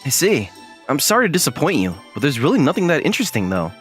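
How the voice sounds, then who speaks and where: Man acting a bemused college guy, very animated pitch. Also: quick pace. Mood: dry, bemused. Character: ordinary young male college student, self-deprecating, bemused, guarded. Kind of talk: anime-style dub with first-person narration and inner monologue. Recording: music behind the voice.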